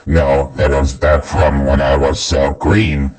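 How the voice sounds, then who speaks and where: Raspy, extremely deep symbiote supervillain. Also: male voice, level tone, darkish tone, heavily acted. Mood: menacing, taunting. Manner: menacing, drawn-out, taunting. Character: gloating, murderous symbiote-clad supervillain. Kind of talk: comic dub voice acting.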